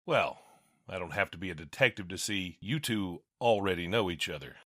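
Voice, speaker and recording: A man playing a principled police captain who speaks calmly and firmly. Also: deep voice, normal speed, dry recording, studio quality. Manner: calm, firm.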